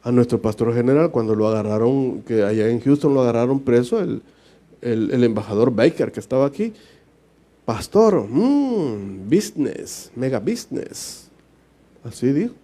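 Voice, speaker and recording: A man's voice: medium pitch, lively intonation, normal speed, mellow. A man from El Salvador with a Salvadoran accent, giving an informal, conversational sermon as a pastor in a colloquial, joking manner. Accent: Salvadoran Spanish. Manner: colloquial and joking, often chuckles and acts out short dialogues. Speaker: pastor giving a Bible study.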